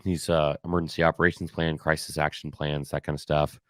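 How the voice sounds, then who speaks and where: A man hosting a podcast alone, slightly hoarse and raspy, talking casually with many fillers and restarts. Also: a bass voice, flat delivery, a little fast, fairly bright tone, no echo, clean studio sound. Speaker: podcast host. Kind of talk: solo casual year-in-review talk.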